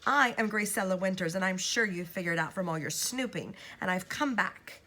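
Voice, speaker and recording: A woman voicing Gracella, a booming old witch. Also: moderate pace, sharp tone. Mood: gloating. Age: older. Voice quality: menacing, booming, mocking. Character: vengeful old witch spirit woman, hateful. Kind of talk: audiobook character voice.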